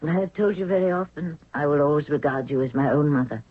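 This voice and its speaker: A woman's voice: low-pitched, fast talker, slightly rough, dry recording. An actress playing a devoted young Egyptian queen in a 1970s radio drama, earnest and formal. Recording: narrow, tinny 1970s radio recording.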